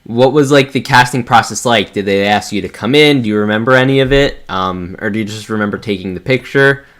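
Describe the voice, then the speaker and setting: A man hosting a fan interview show, speaking with excitable enthusiasm and often stammering over his word starts. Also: fairly low pitch, a little fast. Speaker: host of a toy fan video show. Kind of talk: enthusiastic interview and scripted introduction.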